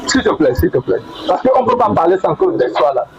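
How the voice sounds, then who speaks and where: A man's voice: high voice, sing-song, fast talker. A man hosting a heated online debate, insistent and repeating himself as he presses the other speaker. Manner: insistent, repeats words and pleads. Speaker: debate host. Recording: muffled with loud noise.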